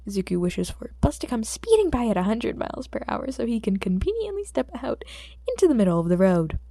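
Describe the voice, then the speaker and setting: Casting: female audiobook narrator for fiction, mellow tone with vocal fry, dry close sound. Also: on the high side, very animated, fast talker, dry recording.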